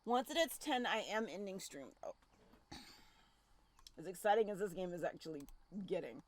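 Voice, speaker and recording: A woman's voice: mid-range voice, expressive, normal speed. A woman streaming a game, chatting casually and reacting in an easily startled, muttering way. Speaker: streamer playing a horror visual novel. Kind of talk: casual livestream commentary and reading aloud.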